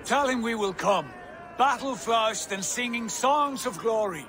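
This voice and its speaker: An actor playing a proud, commanding Viking lord in a game, declaiming with authority. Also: male voice, very high-pitched, expressive, a little slow. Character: commanding, jubilant Viking lord, elder brother. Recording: noisy outdoor ambience behind.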